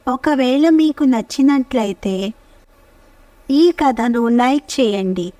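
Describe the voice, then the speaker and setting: Female storyteller, high voice, unhurried careful phrasing, children's tale narration, dry recording. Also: dark tone, no room sound, recorded from a distance.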